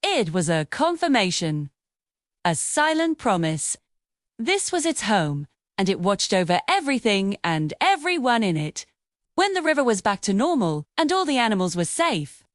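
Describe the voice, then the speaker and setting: A woman narrating a scripted children's bedtime story in an expressive, dramatic storytelling manner. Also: mid-range voice, normal speed, dry recording, studio quality.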